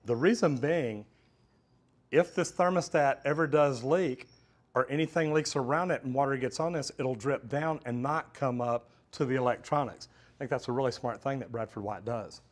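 Plain-spoken man demonstrating plumbing. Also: mid-range voice. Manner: plain-spoken, practical, addresses viewers as "guys". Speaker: plumber and instructor. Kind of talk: hands-on instructional demonstration. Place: metal storage unit, indoors. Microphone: lapel mic.

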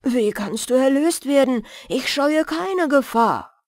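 A woman reads a fearless young fairy-tale hero, earnest and plain-spoken. The voice is fairly high and unhurried, recorded dry. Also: animated, a little mellow, no room sound. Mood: earnest, yearning. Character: fearless, kind young man seeking a princess.

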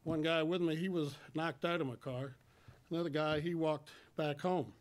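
A man in his sixties speaks at a church lectern, his voice a little high for a man. He tells his personal testimony in homespun, halting phrases, plainly and without polish. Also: steady tone. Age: 60s. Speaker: church member giving testimony. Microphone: microphone on a stand.

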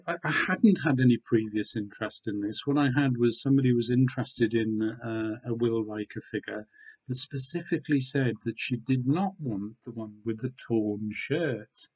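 A man in his sixties from Wales, talking casually and hesitantly in a low-key, understated way as he presents a home vlog. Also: moderate pace, husky, low-quality recording. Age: 60s. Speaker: online seller and video host. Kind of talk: casual vlog chat, showing items. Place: small room at home with bookshelves.

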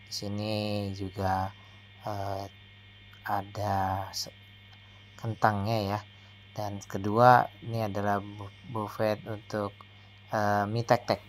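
Male food vlogger, flat and measured delivery, low-ish voice. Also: slight background noise. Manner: steady, slow descriptive narration. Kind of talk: narrated restaurant buffet tour. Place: hotel restaurant, indoors.

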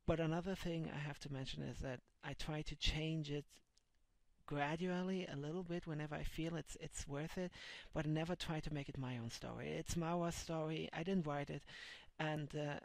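A man's voice: fairly high pitch, steady tone, normal speed, creaky, no room sound. A man in his thirties with a German accent, a native German speaker, chatting casually on his video blog, rambling with many fillers and restarts, recorded on a headset mic in a small room. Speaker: vlogger and comic translator. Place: small dim room indoors.

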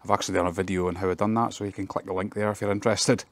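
Man, low monotone voice, tradesman narrating his own job vlog outdoors on a handheld phone, faint background ambience. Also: very fast, no room sound. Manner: plain, practical, with many ums. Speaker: fencing contractor. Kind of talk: casual work vlog walkthrough. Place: outdoors in a garden.

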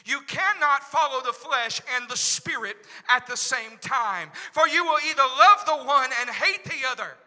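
A middle-aged pastor with an Oklahoma accent preaching into a handheld microphone in a large church sanctuary, dropping to a hush and then rising to shouts. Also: male voice, extremely high pitch, lively intonation, moderate pace, hardly any pauses. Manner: swings from hushed to shouting. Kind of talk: preaching a sermon. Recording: long hall reverb in church.

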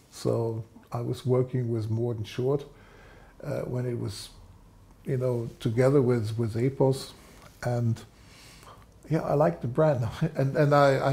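An older man in his sixties talks as an expert guest in a studio interview. His voice is mellow and on the low side, with plenty of creak. He explains technical detail deliberately. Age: 60s. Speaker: guest, loudspeaker designer. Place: studio set, seated indoors.

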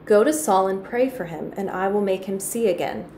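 A woman reading the part of Jesus in a storybook, an earnest voice from heaven, commanding yet gentle. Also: mid-range voice, moderate pace, lightly acted. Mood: calm instruction. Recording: echoey room with faint noise.

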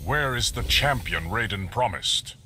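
A man's voice: lowish voice, lively intonation, unhurried, bright, forceful tone, a little hiss or hum, full character voice. A man playing a stern, distrustful warrior emperor in a video game, speaking formally and solemnly.

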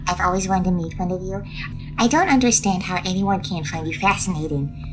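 A woman's voice: on the low side, fairly quick, music in the background, lightly acted, low-quality recording. A woman playing a friendly, curious alien starship captain in an audio roleplay, soothing and a little hesitant as she addresses the listener. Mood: curious, reassuring.

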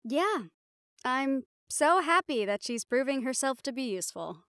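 A woman's voice: sits high, very animated, a little slow, a bit bright, smooth, no echo, clean studio sound. A woman performing an audio roleplay, talking in casual, profane, wry banter.

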